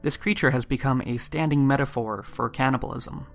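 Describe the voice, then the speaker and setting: Gravelly man narrating an eerie podcast. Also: mid-range voice, steady tone, a little fast, faint music behind. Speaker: podcast host.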